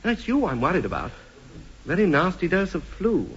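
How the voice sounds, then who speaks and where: Family doctor, matter-of-fact, animated delivery, vintage radio comedy, muffled with faint hiss. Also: male voice, high voice, fairly quick. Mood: reassuring. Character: brisk, reassuring male family doctor. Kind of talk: 1950s British radio comedy acting.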